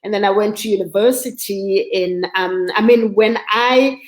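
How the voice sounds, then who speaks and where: A woman's voice: medium pitch, normal speed, reverberant. A woman in her sixties with a South African accent, speaking conversationally as a guest in an online interview. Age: 60s. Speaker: guest, arts advisor.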